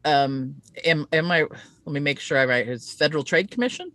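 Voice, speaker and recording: A woman's voice: low-pitched, expressive, moderate pace, a bit bright, no room sound, clean studio sound. A woman hosting a conversational interview, talking in an emphatic way and given to tangents. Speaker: interview host.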